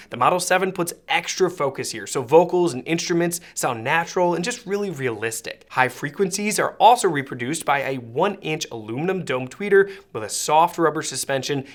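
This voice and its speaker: Higher-pitched man narrating a product review. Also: a bit bright.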